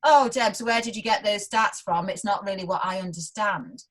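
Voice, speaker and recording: A young woman chats as a guest on an interview, her mid-range voice animated and full of laughter. She slips into other people's voices as she talks. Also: medium pitch. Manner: animated, quick to laugh, acts out quotes. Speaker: guest researcher. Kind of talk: casual interview chat.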